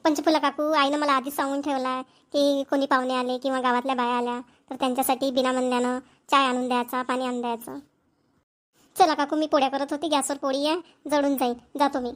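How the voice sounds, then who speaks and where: A woman's voice: high voice, little pitch movement, fast, close to an everyday voice. A woman playing a polite, dutiful young village daughter-in-law in a cartoon. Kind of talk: amateur cartoon voice acting.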